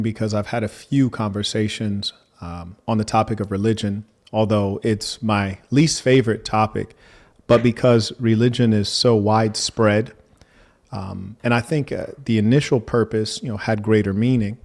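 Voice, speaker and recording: A man hosting a debate, speaking in a measured, formal manner and asking probing questions. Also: fairly low pitch. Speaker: debate host.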